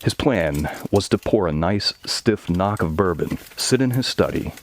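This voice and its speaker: A man's voice: low-pitched, animated, unhurried. A man reading scripted narration for a horror audio story.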